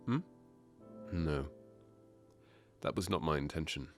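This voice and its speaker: A man's voice: extremely low pitch, expressive, slow, vocal fry, faint music behind, full character voice. A man playing a charming, teasing masked nobleman in a romantic ASMR fairytale roleplay, suave and playful with courtly phrasing. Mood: gentle, wistful.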